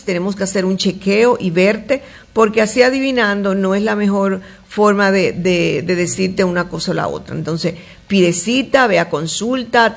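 A woman doctor with a gravelly, rough-edged voice answers listeners' health questions on a call-in show. She talks in a chatty, familiar way, full of fillers and restarts. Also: mid-range voice. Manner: reads questions aloud then answers warmly, with many fillers and restarts.

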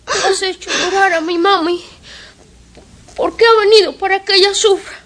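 A woman's voice: very high voice, steady tone, slow pace, sharp tone, heavily acted. A woman voicing a small, clingy boy who talks through sobs and pleads, in a radio drama. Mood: crying. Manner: sobbing, pleading child voice.

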